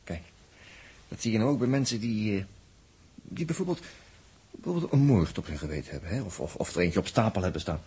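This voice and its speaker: Sly, husky Dutch radio-drama crewman. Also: fairly low pitch, expressive, darkish tone, heavily acted. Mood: insinuating. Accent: Netherlands Dutch. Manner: halting, repeats words for emphasis. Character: sly, insinuating male space crewman, flirty and needling. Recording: muffled 1960s radio recording.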